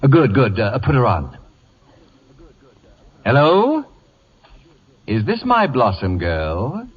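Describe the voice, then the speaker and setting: Pompous, acid-tongued wit on vintage radio. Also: male voice, medium pitch, wildly swooping pitch, slow pace, husky, exaggerated voice. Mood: oily, wheedling. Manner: imperious, theatrical, biting sarcasm. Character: pompous, acid-tongued male celebrity wit, domineering and scheming. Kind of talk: vintage radio comedy acting. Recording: dull vintage radio broadcast.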